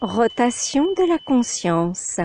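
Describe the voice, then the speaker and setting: A woman instructor guiding a yoga nidra meditation in calm, measured short phrases with pauses between them. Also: a little high, slight background noise.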